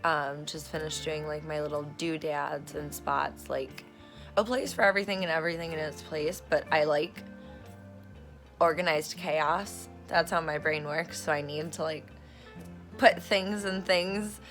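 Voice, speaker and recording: Hoarse woman rambling in a vlog. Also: low voice, fairly bright tone. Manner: meandering, many fillers and self-corrections, swears casually. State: hoarse, losing her voice. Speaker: vlogger. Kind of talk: casual rambling vlog chat. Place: at home, in a room being turned into an office. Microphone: phone camera recording herself.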